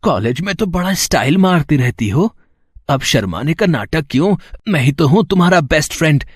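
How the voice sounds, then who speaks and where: Man playing a husky, taunting young villain. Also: a little high, very animated, normal speed, dry recording, clean studio sound. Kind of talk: dramatised Hindi moral story.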